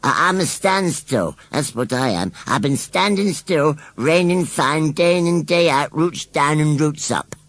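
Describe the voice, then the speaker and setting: Rustic storybook scarecrow, touchy but kindly, stammering, drawn-out words, lively swooping pitch, dry close sound. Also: male voice, no echo. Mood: indignant, proud.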